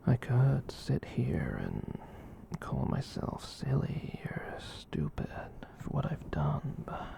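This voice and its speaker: A performer voicing a gentle giant catboy in a whispered ASMR roleplay, soothing and rambling, with hesitant pauses. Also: medium pitch, huge pitch swings, exaggerated voice. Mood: tender, shy. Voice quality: breathy whisper. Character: gentle giant catboy, soothing, reassuring, shyly self-conscious.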